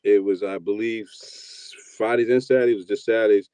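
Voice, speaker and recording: A man in his fifties from New York telling his story in a relaxed, rambling interview, often repeating words as he starts. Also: on the low side, fairly quick, clean tone, no room sound. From New York, USA. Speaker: guest, producer and DJ.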